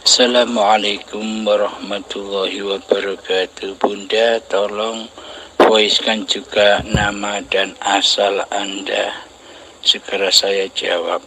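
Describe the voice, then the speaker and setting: A man acting as a religious teacher, measured and solemn, opening with prayers before answering a caller. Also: on the low side, little pitch movement, heavy background noise.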